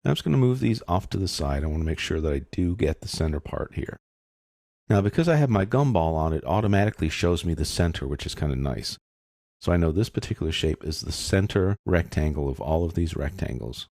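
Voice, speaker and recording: A man narrating a step-by-step software tutorial in an informal, explanatory way, thinking aloud as he works. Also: low-pitched, animated, brisk, gravelly, dry recording, studio quality.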